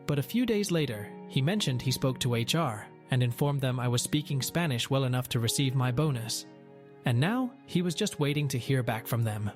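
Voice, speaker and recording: A man reads a first-person story in a steady, even way, his voice sitting at a middle pitch. Faint music plays quietly underneath the narration. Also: moderate pace. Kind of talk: scripted narration of a reddit story.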